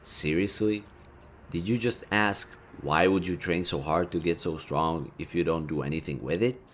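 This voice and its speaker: A man's voice: low-pitched, normal speed, slight background noise, lightly acted. A man voicing a smug, teasing but caring supervillain in an intimate audio roleplay, talking conversationally and straight to the listener. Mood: teasing, cocky. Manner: teasing, conversational, swinging from playful to earnest. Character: smug, teasing yet caring male supervillain, city-wide rival.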